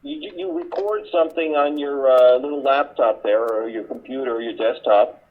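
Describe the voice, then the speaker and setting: A man's voice: mid-range voice, very animated, moderate pace, creaky. A man co-hosting a podcast over a phone line, chatting casually and teasing. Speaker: podcast co-host. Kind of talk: casual chat.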